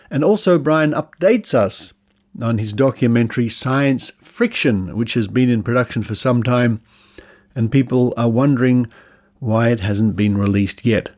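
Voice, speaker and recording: A man's voice: mid-range voice, a little mellow. An Australian man hosting a podcast, introducing segments and interviewing in a chatty, informal way. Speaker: podcast host.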